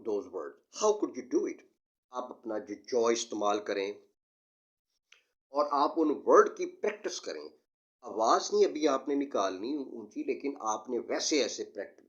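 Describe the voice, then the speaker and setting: A man giving an explanatory lesson as an instructor, deliberate, emphatic and repetitive in his delivery. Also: lowish voice. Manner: didactic, deliberate, emphatic and repetitive, slowing down for English sentences. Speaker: English test instructor. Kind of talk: explanatory lesson mixing Urdu and English. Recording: dull, muffled lo-fi audio.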